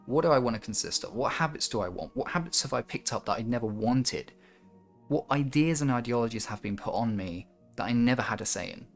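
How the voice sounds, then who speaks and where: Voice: mid-range voice, lively intonation, brisk. Reflective livestream host with music behind. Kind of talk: casual chat and reflective monologue to a livestream audience.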